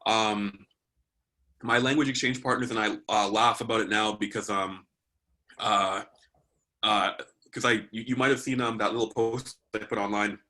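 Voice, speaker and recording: A man's voice: lowish voice, fairly even intonation, moderate pace, bright, forceful tone. A man from Canada, a guest in a casual interview, speaking thoughtfully and hesitantly with frequent ums.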